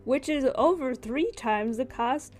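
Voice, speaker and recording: A woman's voice: high-pitched, unhurried, darkish tone. A woman acting as game master, casually narrating a tabletop game and explaining its rules. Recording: music behind the voice. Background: background music.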